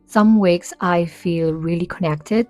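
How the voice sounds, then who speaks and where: A young woman from Spain, whose first language is Spanish, talking in English in a soft-spoken, candid and reflective way to her camera. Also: on the low side, slow, no room sound, soft background music. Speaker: vlogger and independent singer. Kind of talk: improvised personal talk to camera.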